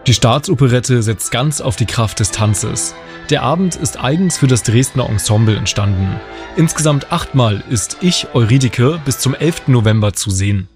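Guy reading a scripted news report, medium pitch, music playing under it. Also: lively intonation, moderate pace.

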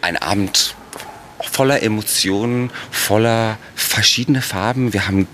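Male choreographer, Italian accent, very low voice, explaining his work in interview style. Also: measured pace, bright, forceful tone, soft background music. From Italy. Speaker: choreographer and director.

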